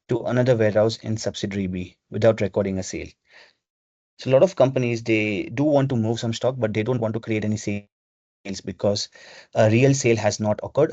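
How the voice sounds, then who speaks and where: A man presenting a webinar, explaining software step by step in an instructional way over a video call. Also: fairly low pitch, no room sound. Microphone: video call microphone. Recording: dull, lo-fi video call audio.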